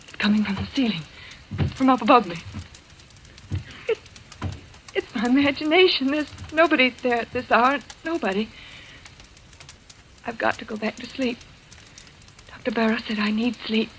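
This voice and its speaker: An actress playing a nervous, haunted young woman in a vintage radio drama, speaking in a breathless, halting, melodramatic way. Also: on the high side, a bit bright. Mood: terrified. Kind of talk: old-time radio drama acting. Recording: noisy vintage radio recording.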